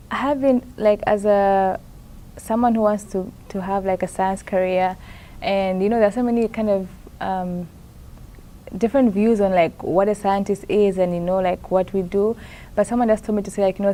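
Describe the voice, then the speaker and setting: A young woman from Zambia speaks in a warm, mellow voice. She answers interview questions softly and haltingly, restarting phrases and filling pauses as she thinks aloud. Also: mid-range voice. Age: young adult. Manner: hesitant, many fillers and restarts, soft-spoken. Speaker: master's student in plant science. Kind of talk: conference interview, casual answers.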